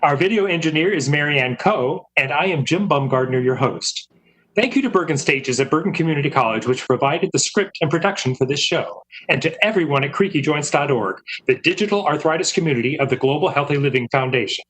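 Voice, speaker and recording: A man with a husky voice hosting radio theater, reading a scripted announcement. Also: on the high side, lively intonation, a little fast, fairly bright tone, rough recording. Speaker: host.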